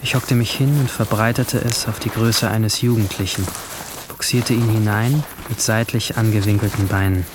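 A man playing a brooding, alienated seventeen-year-old who narrates his guilt in a hushed, introspective radio drama style. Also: fairly low pitch, fairly even intonation, moderate pace, background noise, lightly acted. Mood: tense, detached. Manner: hushed, introspective, at times whispering.

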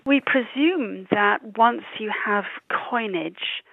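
A woman's voice: medium pitch, slow pace, gravelly, no echo. A woman professor explaining in an interview over a phone call. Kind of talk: interview conversation.

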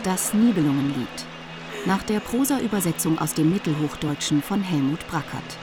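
Measured woman narrating a radio drama. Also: fairly low pitch, music in the background. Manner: measured, formal. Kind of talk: scripted narration.

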